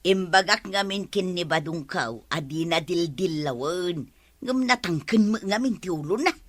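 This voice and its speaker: A needling village gossip in a radio comedy. The voice is bright with lively pitch and sounds dry and close. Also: moderate pace, no room sound. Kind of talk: radio comedy drama acting.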